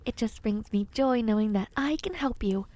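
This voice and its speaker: A woman playing a kind, dreamy witch in an audio roleplay, soothing and gently formal, easily sidetracked. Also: fairly high pitch, moderate pace, dry recording, lightly acted. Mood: gentle reassurance. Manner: gentle, soothing, old-fashioned formal phrasing, easily distracted. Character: kind, dreamy peculiar witch, otherworldly and caring. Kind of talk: audio roleplay addressed to the listener.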